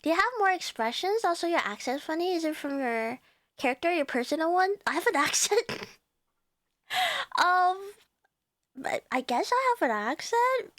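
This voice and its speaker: A woman's voice: very high voice, very animated, normal speed, pauses often, fairly bright tone, no echo, studio quality. A young woman with a Cantonese accent chatting casually with her viewers as a livestreamer, chatty and giggling often.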